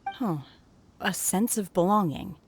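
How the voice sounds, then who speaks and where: A woman's voice: mid-range voice, unhurried, a little hiss or hum, lightly acted. A woman playing a reserved, earnest young outsider in a video game, hesitant and formal. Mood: pensive. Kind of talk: video game character acting.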